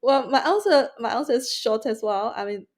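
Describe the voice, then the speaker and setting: A woman with a Mandarin Chinese accent, a guest in a podcast interview, speaking haltingly with many restarts and fillers. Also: fairly high pitch, expressive.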